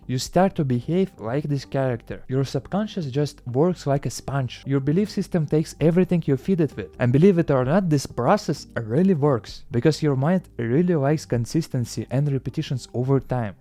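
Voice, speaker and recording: A man's voice: medium pitch, mellow. A young man with a Russian accent giving an earnest motivational talk to camera, with slightly non-native phrasing. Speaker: self-improvement YouTuber.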